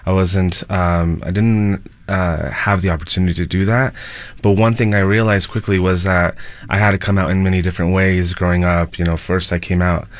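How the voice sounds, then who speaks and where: A man speaking as a panel guest, telling a personal story casually in a halting, thoughtful way. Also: low-pitched, flat delivery, dry recording.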